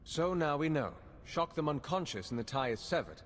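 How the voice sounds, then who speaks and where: An actor playing an earnest, plain-spoken undercover agent in a video game. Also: male voice, fairly high pitch, big pitch swings, normal speed. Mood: urgent, businesslike. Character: earnest male undercover intelligence agent in space war. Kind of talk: dramatic video game dialogue. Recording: long reverb, background hum and ambience.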